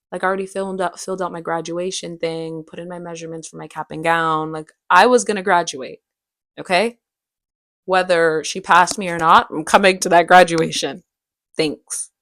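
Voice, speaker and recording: A woman's voice: medium pitch, no echo. Young woman chatting casually, dry close sound. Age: young adult. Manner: animated, slangy, self-dramatizing. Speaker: vlogger and aspiring actress. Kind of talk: casual chatty vlog storytelling.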